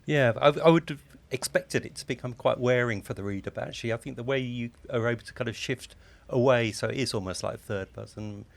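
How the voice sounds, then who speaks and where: A man interviewing in a conversational book discussion, speaking a little haltingly and repeating words. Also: medium pitch, creaky. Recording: roomy, echoey sound.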